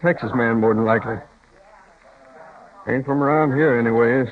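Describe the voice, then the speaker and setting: A man's voice: medium pitch, lightly acted. A man playing a drawling cowboy foreman. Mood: puzzled. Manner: slow, folksy cowboy talk. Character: puzzled, plain-spoken ranch foreman. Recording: tinny old radio broadcast.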